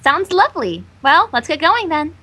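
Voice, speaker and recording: A woman's voice: high voice, very animated, moderate pace, bright, forceful tone, pitched above the performer's own voice, heavily acted. A woman voicing a bookish, earnest young female cartoon pony in a prim manner. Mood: cheerful, brisk. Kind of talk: fan fiction character reading.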